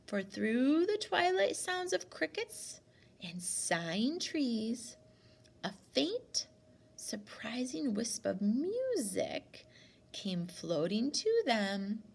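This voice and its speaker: A woman reading a storybook aloud as a teacher, in an expressive narrating style. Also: a little high, slow, vocal fry.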